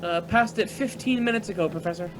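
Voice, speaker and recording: A man's voice: high voice, brisk, music in the background, lightly acted, poor audio. A man acting in a radio play as an easygoing, bold driver with a colloquial, offhand way of talking. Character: easygoing male driver and pilot, bold, folksy.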